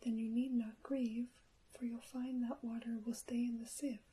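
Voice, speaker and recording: A woman voicing a small, helpful fairy-tale wren in a soft, reassuring whisper. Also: fairly high pitch, flat delivery, normal speed, slightly rough. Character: small helpful wren, offering advice for crumbs.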